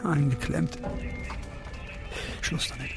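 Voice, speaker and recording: Haunted agent, very slow halting monologue. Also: male voice, a little high, steady tone, creaky. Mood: agitated, haunted. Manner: halting, terse fragments. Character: traumatized male undercover agent, grieving, in inner monologue. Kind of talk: German radio drama inner monologue. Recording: music bed under the voice.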